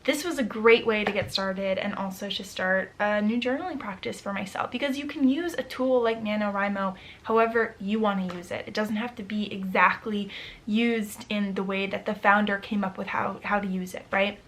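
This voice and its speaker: Chatty young woman, slightly hoarse. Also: medium pitch, steady tone, normal speed, a bit bright. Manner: chatty, upbeat, with frequent fillers. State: slightly hoarse, losing her voice. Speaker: vlogger. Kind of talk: casual vlog talk to camera.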